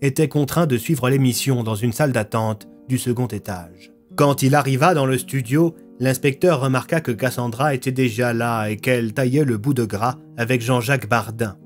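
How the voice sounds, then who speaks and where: A man's voice: medium pitch, normal speed, few pauses, soft background music. A man narrating a scripted audio fiction story.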